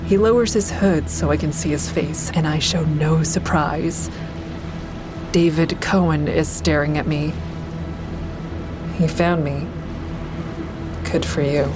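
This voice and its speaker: An actress playing a bitter, grieving mother who is cold and unforgiving toward her ex-husband, in an audio drama with intense, deliberate delivery. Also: deep voice, steady tone, music in the background. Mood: somber narrating. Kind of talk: full-cast audio drama, first-person narration and dialogue. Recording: clearly noisy outdoor traffic ambience.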